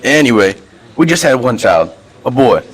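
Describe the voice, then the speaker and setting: A man's voice: medium pitch, sing-song, normal speed, slightly rough, low-quality recording. A man acting a reverend with a hoodlum past in an amateur radio play, talking in street slang and folksy storytelling. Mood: confiding.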